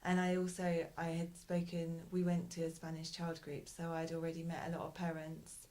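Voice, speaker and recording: A woman being interviewed as a parent in a casual conversation, talking thoughtfully and hesitantly with frequent pauses and restarts. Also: low-pitched, monotone, warm tone. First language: English.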